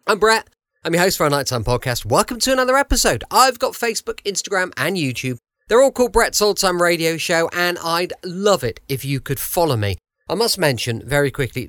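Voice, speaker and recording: A man's voice: on the high side, fairly bright tone, no room sound, clean studio sound. An English man hosting a podcast, giving a chatty introduction. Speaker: podcast host.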